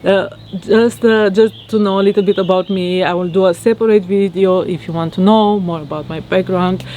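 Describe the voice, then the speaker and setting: A woman from Romania with a Romanian accent, talking reflectively to her viewers in a halting way with many fillers. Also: medium pitch, fairly even intonation, dark tone, a little hiss or hum. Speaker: vlogger. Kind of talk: casual monologue to camera. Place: outdoors in her garden.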